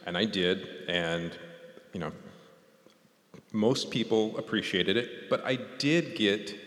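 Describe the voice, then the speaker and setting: Male onstage interviewer, slightly low voice with lively inflection, informal and joking, in a reverberant hall. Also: takes it slowly. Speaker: interviewer and moderator, fellow author. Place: large concert hall stage. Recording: echoey concert hall with long reverb.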